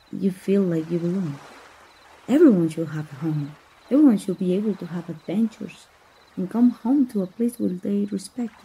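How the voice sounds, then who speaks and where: A woman playing a kind, adventurous young princess in a romantic ASMR roleplay, speaking softly and tenderly with a breathy voice. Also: medium pitch, a little hiss or hum, close to an everyday voice. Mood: sympathetic, tender. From Mexico. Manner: soft, hesitant, tender, intimate delivery. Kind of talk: romantic ASMR roleplay, soft intimate acting.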